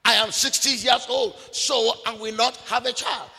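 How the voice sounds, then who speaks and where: Male preacher, very high, bright and gravelly voice, emphatic and repetitive with shouted bursts, long hall reverb. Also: steady tone, moderate pace. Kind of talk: sermon.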